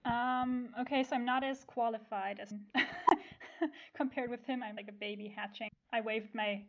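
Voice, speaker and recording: A woman's voice: a little high, fairly even intonation, measured pace, fairly bright tone. A young woman guest chatting casually in a self-deprecating, informal way. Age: young adult. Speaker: podcast guest, amateur theatre group member. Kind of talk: casual chat. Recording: dull lo-fi sound.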